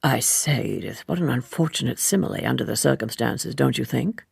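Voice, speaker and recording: A woman voicing a polite, self-possessed, evasive gentleman in an audiobook, with a measured, formal and courteous manner. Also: rumbling low, lively intonation, vocal fry, breathy edge, no room sound, studio quality, pitched below the performer's own voice, full character voice. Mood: discreet. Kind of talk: audiobook character dialogue.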